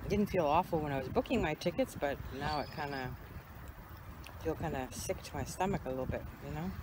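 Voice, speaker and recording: Slightly hoarse woman, halting nervous chat, lots of fillers, outdoors by a pond, loud background noise. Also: low-pitched, animated. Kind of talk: casual chat.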